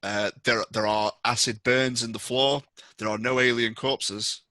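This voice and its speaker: A man's voice: medium pitch, level tone, unhurried, pushed and bright, no room sound. A man narrating a tabletop roleplaying game as game master, describing scenes to players.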